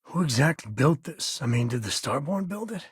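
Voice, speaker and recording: An easygoing American spacefarer and former ranger for a video game. His voice is strongly husky and rough-edged, with a folksy, wry drawl. He sounds like a middle-aged man, recorded very dry. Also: fairly high pitch, sing-song, moderate pace, dry recording, full character voice. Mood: warm, reflective. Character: easygoing, wry male space explorer and ex-ranger, loyal father. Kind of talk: video game voice acting, naturalistic dialogue lines.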